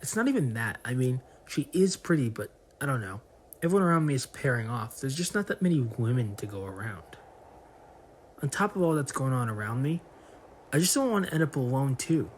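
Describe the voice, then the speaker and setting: A man's voice: mid-range voice, very animated, a little fast, slight background noise, natural voice. A man playing a lonely, awkward young man in a motion comic, speaking hesitantly and self-consciously. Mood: glum, confiding.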